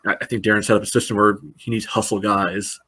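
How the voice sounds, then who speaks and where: A man's voice: fairly low pitch, little pitch movement, quick pace, bright, forceful tone, slightly rough. A man giving casual sports analysis as a podcast panelist, in loose phrasing padded with filler phrases. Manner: loose phrasing with frequent "you know".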